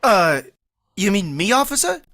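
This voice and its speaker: A woman's voice: mid-range voice, very animated, measured pace, a bit bright. A woman voicing an awkward, lovestruck young man in a vintage-style radio play, earnest and rambling. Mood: flustered. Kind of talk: 1940s radio acting.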